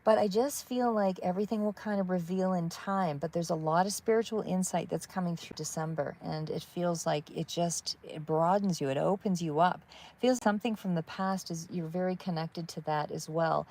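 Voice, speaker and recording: A woman giving a live tarot reading in a calm, soothing voice that wanders between thoughts with many fillers. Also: lowish voice, moderate pace, rough recording. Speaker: tarot reader.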